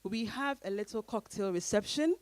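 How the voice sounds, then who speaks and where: A woman serves as event host, giving closing announcements to an audience. Her voice sits at a medium pitch, and the sound is dry, with hardly any echo. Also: lively intonation, moderate pace.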